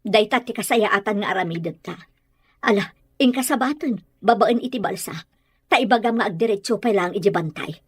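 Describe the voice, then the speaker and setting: A woman playing a worried, cautious village mother with a husky voice, in a Filipino radio drama. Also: medium pitch, brisk, dry recording, natural voice.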